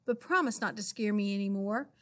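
A woman's voice: mid-range voice, fairly quick, fairly bright tone, lightly acted. A woman voicing a lonely, spirited little old lady from a children's storybook, exclaiming and talking to herself. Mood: friendly.

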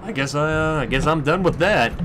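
A man's voice: on the high side, lively intonation, fairly quick, background noise. A man giving casual, crude, sweary gameplay commentary, musing aloud as he goes. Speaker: let's play commentator.

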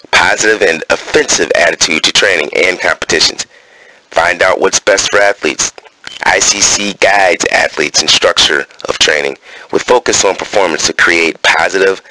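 A man's voice: a bass voice, sharp tone, rough recording. A man reading coaching points aloud in a wrestling gym, breaking off into casual summaries with fillers. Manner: alternates terse read-out points with casual asides full of fillers.

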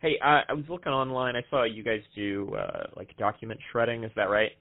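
A young man making a prank phone call with a deadpan, hesitant delivery. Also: mid-range voice, fairly quick, vocal fry, dry recording. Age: young adult. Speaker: prank caller and show host. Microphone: headset microphone. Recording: dull, band-limited call audio.

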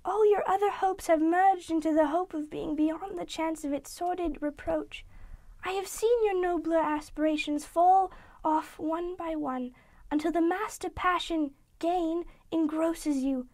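A man voicing a soft, high-pitched sorrowful young woman. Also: steady tone. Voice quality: soft, gentle. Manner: quiet, measured, resigned pleading. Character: gentle, sorrowful young woman releasing her betrothed. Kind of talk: audiobook reading.

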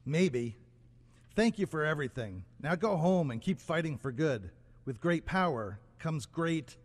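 A man playing a terse, deadpan superhero in an amateur radio play. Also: on the high side, a little slow. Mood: calm, earnest. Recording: very noisy, long reverberant radio sound.

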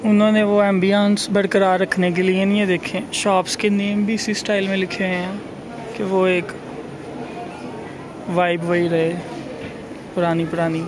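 A man's voice: sits high, little pitch movement, normal speed, pauses often. A man giving casual, chatty commentary while walking through an outdoor market, musing aloud as he goes. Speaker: travel vlogger. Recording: busy crowd noise behind.

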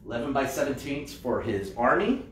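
A man's voice: mid-range voice, sing-song, moderate pace, fairly bright tone, a little hiss or hum. Chatty American man in an echoey room. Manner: jokey, chatty, enthusiastic. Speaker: host of a comics shopping show. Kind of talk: casual live shopping presentation. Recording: roomy echo, distant microphone.

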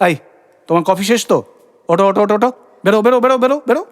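An actor performs an exasperated husband in a comic audio play. He sounds incredulous and grumbling, though soft-hearted underneath. He sputters, repeating words as indignant questions. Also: sits high, quick pace, close to an everyday voice. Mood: exasperated, sarcastic. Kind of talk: comic audio drama acting.

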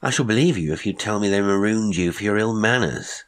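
A man's voice: lowish voice, fairly quick, pitched above the performer's own voice, lightly acted. Brief: weary castaway, brusque and impatient, pressing questions, voiced by a man. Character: exhausted, irritable shipwrecked Englishman.

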